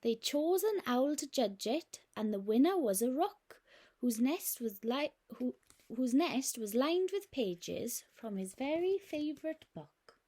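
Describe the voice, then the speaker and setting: A woman reading a rhyming picture book aloud, gently and expressively. Her voice sits a little high, and she takes a slow, unhurried pace. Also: very animated. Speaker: nursery teacher.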